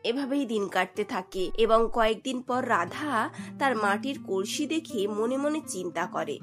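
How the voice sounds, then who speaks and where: High-voiced woman narrating a cartoon story. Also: lively intonation, normal speed, soft background music.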